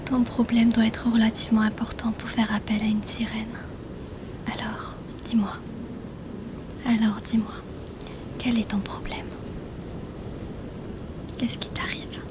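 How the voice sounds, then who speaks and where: Here is a mysterious mermaid healer, acted by a woman, whispering in a fairly high feminine voice. Her intonation stays very level and hushed, intimate and teasingly questioning. She is a shrewd, curious bargainer in an ASMR fantasy roleplay. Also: level tone, unhurried, long pauses, natural voice. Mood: intrigued, probing.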